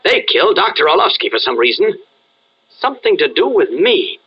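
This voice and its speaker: A man's voice: sits high, big pitch swings, a little fast, slightly rough, exaggerated voice. A man playing an earnest newspaper reporter in a radio serial, insistent and pleading. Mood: urgent. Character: earnest, urgent male newspaper reporter with secret identity. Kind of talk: old radio serial acting. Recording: dull, band-limited radio-style recording.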